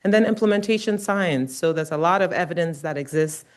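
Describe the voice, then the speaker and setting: A woman's voice: lowish voice, a little fast. A middle-aged woman from Ghana giving an academic lecture, speaking earnestly with frequent "um" and "uh" fillers. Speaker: guest lecturer, nursing researcher.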